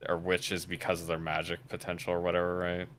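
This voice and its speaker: A man's voice: low-pitched, monotone, a little fast, over a noisy crowd. A man chatting about anime with friends, laid-back, making dry, muttered remarks. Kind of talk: casual anime review chat.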